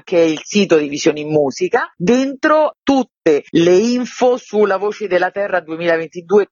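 A woman's voice: medium pitch, darkish tone, slightly rough, no echo. A woman presenting a festival program as a guest in a radio interview. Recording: muffled, dry, narrow-band sound.

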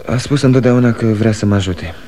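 An actor playing a restless, defensive grown son who answers curtly, in a 1960s radio drama. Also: male voice, lowish voice, dark tone, slight background noise, close to an everyday voice.

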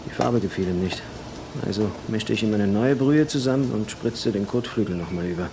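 A man's voice: lowish voice, brisk, noisy background, natural voice. A man playing a casual, bigoted auto painter in a radio crime drama, offhand and slightly defensive. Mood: offhand, wary.

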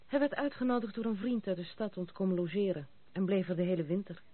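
A woman's voice: mid-range voice, quick pace, close to an everyday voice. Actress as young woman in old radio play, blunt n wry, a bit disillusioned, tinny phone-like audio. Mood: disillusioned, confiding. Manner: direct, wry. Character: frank, disillusioned young granddaughter of a general. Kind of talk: radio drama acting. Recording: tinny, band-limited old radio recording.

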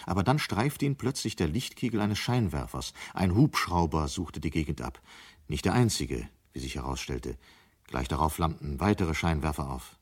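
Casting: male narrator for radio drama. Deep voice, sober, measured, scripted storytelling. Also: lively intonation, breathy edge.

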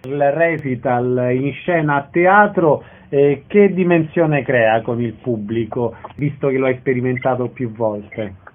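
A man of average pitch asks concise interview questions that sound prepared. His voice comes through a thin, restricted band, like a phone or radio link. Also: measured pace, narrow, tinny audio.

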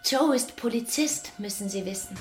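An actress playing a trusting, open-hearted young daughter of the house in a radio drama. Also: female voice, on the high side, normal speed, darkish tone, natural voice. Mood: downcast. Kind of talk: German radio drama acting. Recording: music behind the voice.

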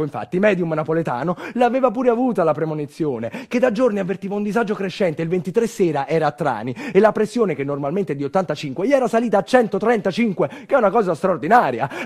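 A man's voice: on the high side, darkish tone. A man performing a theatrical narrative monologue in a wry, rhetorical manner, with colloquial asides and refrains.

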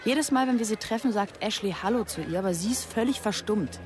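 A woman's voice: mid-range voice, expressive, brisk, darkish tone, soft background music, natural voice. A woman playing a rebellious, sarcastic teenage girl in a radio drama, telling her story in a confiding, dramatic way. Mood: defiant, snarky. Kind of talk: radio drama narration and dialogue.

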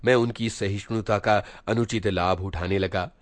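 A man reading a literary short story aloud as an expressive narrator. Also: fairly low pitch, normal speed, no room sound.

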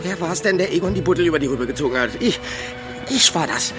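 A man's voice: a little high, lively intonation, fast talker, lightly acted. An actor playing a lovesick, eccentric man in a radio drama, pleading insistently and desperately with a bride. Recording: music behind the voice.

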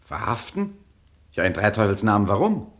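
An actor playing an indignant gentleman gangster in a satirical retro radio crime play. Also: fairly low pitch, big pitch swings, moderate pace.

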